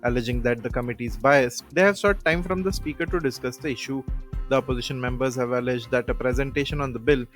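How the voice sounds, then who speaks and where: A man's voice: medium pitch, fast, fairly bright tone. A man with an Indian accent presenting scripted news as a newsreader. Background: music.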